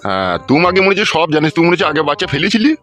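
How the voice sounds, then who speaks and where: A man's voice: on the high side, sing-song, very fast, a bit bright, soft background music. A man voicing a scheming, bossy, middle-aged village uncle in a comedy cartoon, scolding and constantly checking he is understood. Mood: bossy, explaining. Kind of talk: Bengali comedy cartoon dubbing.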